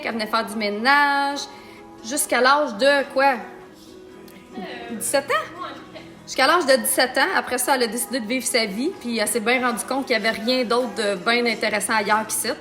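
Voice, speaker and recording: Une femme d'âge mûr à l'accent québécois, qui bavarde en blaguant pendant qu'elle se maquille en direct. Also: female voice, on the high side, moderate pace, sharp tone, soft background music. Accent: Québécois French. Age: middle-aged. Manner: chatty and joking, talks while applying makeup. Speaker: makeup artist and business owner. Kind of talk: live-stream makeup tutorial chat.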